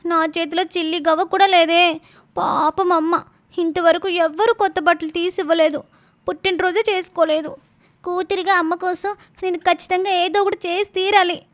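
A woman's voice: extremely high pitch, steady tone, moderate pace. A woman voicing an eager, cheeky young village daughter with a childlike sing-song way of talking, in a story cartoon. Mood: worried, musing. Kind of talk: animated moral story dialogue.

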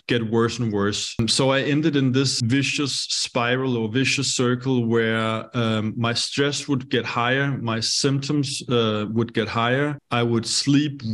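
A man in his thirties from Denmark with a Danish accent, telling his story as a guest on a video call, halting with many fillers and restarts. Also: medium pitch. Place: bright home room.

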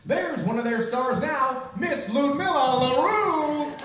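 An older man playing a brisk, showy military announcer in an old-time radio variety show. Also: extremely high pitch, lively intonation, full character voice. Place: small library room, live performance. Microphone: far camera. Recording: echoey room from far off, faint noise.

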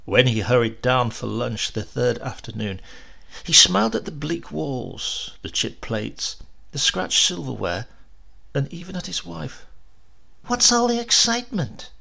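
A man narrating a story as an audiobook reader, with expressive scripted delivery. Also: medium pitch, a little slow, gravelly, slightly rough.

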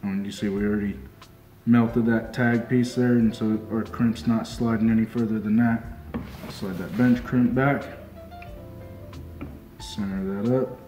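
A young man working as a fishing deckhand calmly walks through a hands-on demonstration step by step, often saying "just like so" as he works. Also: lowish voice. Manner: calm, unhurried, repeats "just like so" while working with his hands. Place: small indoor tackle shop workbench. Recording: roomy sound with music underneath.

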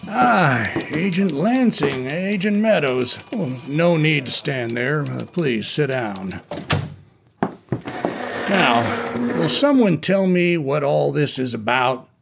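A man's voice: a little high, animated, slow, gravelly, noisy background, full character voice. A man playing a skeptical, folksy American colonel who speaks hesitantly in a radio drama. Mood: affable, puzzled. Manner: hesitant, dryly incredulous.

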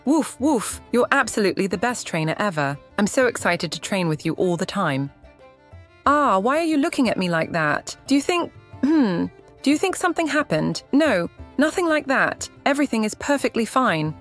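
A woman voicing an excitable, bullying wolf animatronic in a cartoon comic dub, brash but stammering when caught out. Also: fairly high pitch, normal speed, soft background music. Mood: eager, nervous. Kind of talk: comic dub character acting.

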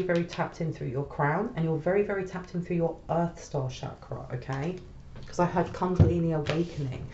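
A woman's voice: deep voice, dark tone. A woman with a slight cold, crackly voice, giving a collective tarot reading, often checking in with "okay?". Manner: soothing, repeats "okay?" often. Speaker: tarot reader. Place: small room at a table. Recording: roomy, slightly dull home recording.

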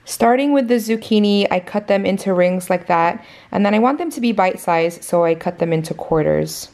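A woman's voice: mid-range voice, normal speed, darkish tone. Chatty woman narrating a home cooking vlog. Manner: chatty, friendly step-by-step explaining to viewers. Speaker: cooking vlogger. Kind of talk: casual meal prep narration. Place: home kitchen.

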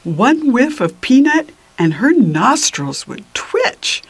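An older woman reading a children's picture book aloud in an expressive, deliberate manner that drops into whispers, with little asides to the listeners. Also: high voice, breathy edge, dry recording. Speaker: children's storybook reader.

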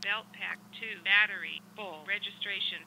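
A man's voice: high voice, fairly even intonation, moderate pace, bright, forceful tone. A man's automated voice prompt giving short status announcements through a small headset speaker. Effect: small headset speaker picked up by a mic. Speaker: device voice prompt. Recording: noisy capture from headset earpiece.

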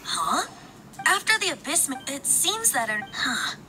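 High, lilting theatrical young bard. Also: female voice, very animated, unhurried, noisy background. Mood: earnest. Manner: theatrical, flowery phrasing. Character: lively youthful bard, theatrical and playful storyteller. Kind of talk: video game voice acting. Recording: game audio under background music.

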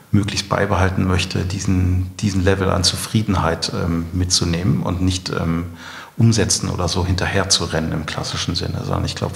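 A middle-aged man giving reflective interview answers as a guest, haltingly searching for his words. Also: deep voice, creaky. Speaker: guest, company co-founder. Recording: echoey, reverberant room.

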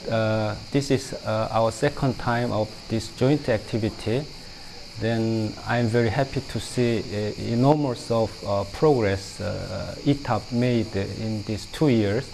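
Gravelly, hesitant middle-aged man. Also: mid-range voice, a little mellow, a little hiss or hum, rough recording. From Korea. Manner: hesitant, many fillers. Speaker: research center representative. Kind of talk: interview. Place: indoors, stone-walled room.

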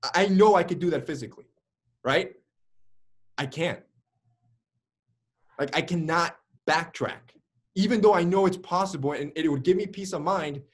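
Husky-voiced young man telling his story. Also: on the high side, normal speed, pauses often. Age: early 20s. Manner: energetic, gesturing with his hands, many fillers like "like" and "right?". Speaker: interview guest. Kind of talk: candid storytelling.

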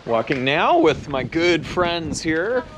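A man's voice: fairly high pitch, sing-song, slow. A man hosting a casual vlog, chatting to the camera and his friends in an enthusiastic, friendly way. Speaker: vlog host. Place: out and about in Japan and a lively bar. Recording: loud music and crowd noise behind.

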